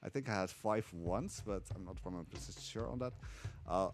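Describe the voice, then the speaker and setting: Lower-voiced man commentating a speedrun. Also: moderate pace, slight background noise. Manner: relaxed, hesitant with many fillers. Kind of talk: live commentary while playing.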